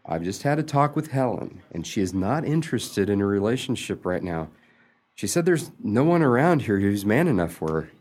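A man's voice: on the low side, very animated, normal speed, a little mellow. A man playing a jealous, lovesick suitor in an amateur radio play, earnest and exasperated, with a hesitant stammer. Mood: guarded, sly.

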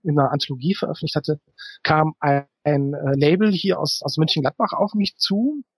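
A middle-aged man speaks as an interview guest. His voice sits a little high and has a husky grain, recorded close and dry. He explains his points conversationally, pausing often to search for words. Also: dry recording.

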